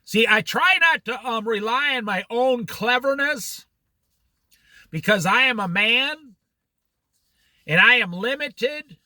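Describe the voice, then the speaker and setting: A man teaching a casual Bible study in a very bright, high voice that swings widely in pitch. He talks slowly and challenges his listeners with pointed questions, with a dry close sound. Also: dry recording. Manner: animated, challenging rhetorical questions; jokey asides. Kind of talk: informal Bible study talk.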